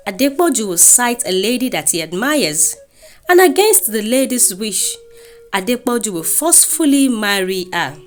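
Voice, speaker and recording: Animated Nigerian woman storyteller, high voice. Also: normal speed. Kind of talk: dramatic scripted folktale narration. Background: music.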